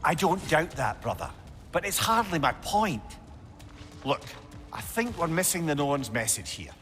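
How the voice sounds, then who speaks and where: An actor playing a witty, avuncular old sage in a video game, speaking with a Scottish accent in a wry, counselling, conversational way. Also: male voice, a little high, very animated, measured pace, a bit bright, soft background music, full character voice. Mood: wry caution. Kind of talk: video game dramatic acting.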